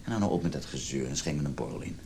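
A man's voice: a bass voice, animated, racing, noisy background. A man playing an evasive, impatient lover in a radio drama, offhand and domineering. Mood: irritated. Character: evasive, impatient lover who dislikes pressure.

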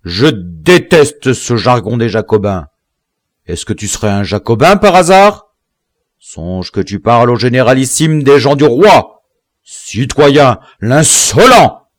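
A man's voice: fairly low pitch, sing-song, takes it slowly, few pauses, dry recording, clean studio sound, full character voice. A man voicing an imperious rebel general in an audiobook, haughty and severe, cruelly toying with his captives. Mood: angry. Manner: haughty, severe, impatient, emphatic.

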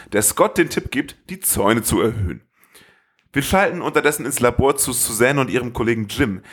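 A man's voice: medium pitch, fairly bright tone, slightly rough. Man narrating a playful film recap. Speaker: podcast co-host.

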